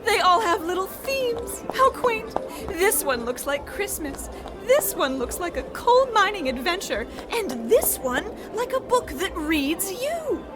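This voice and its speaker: A woman's voice: very high voice, sing-song, a little slow, loud music behind the voice, full character voice. A woman playing a giddy, wheedling wife with quaint melodramatic airs in an old-time comedy radio play. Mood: giddy wonder.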